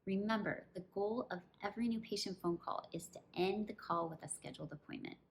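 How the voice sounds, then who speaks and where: A woman dentist and coach giving an instructional presentation, explaining things clearly step by step. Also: mid-range voice, a little fast, a little hiss or hum. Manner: clear step-by-step explaining, with acted example phrases.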